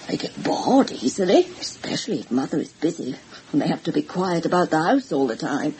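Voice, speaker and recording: A woman's voice: low-pitched, sing-song, brisk, darkish tone, a little hiss or hum, rough recording. A woman playing a chatty, evasive village housekeeper in a radio drama, homely and matter-of-fact. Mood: explaining.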